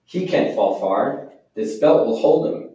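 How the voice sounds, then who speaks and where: A man's voice: mid-range voice, lively intonation, a little slow, warm tone, natural voice. A man reading the lines of a pushy male circus owner in a blunt, bossy way. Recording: very echoey room, mic far off.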